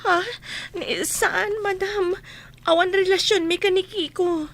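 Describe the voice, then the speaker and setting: Very high-voiced timid housemaid. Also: female voice, steady tone, a little slow, a bit bright, exaggerated voice. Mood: nervous, evasive. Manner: hesitant, stammering, deferential. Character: timid, flustered young housemaid. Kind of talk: Ilocano radio comedy drama acting.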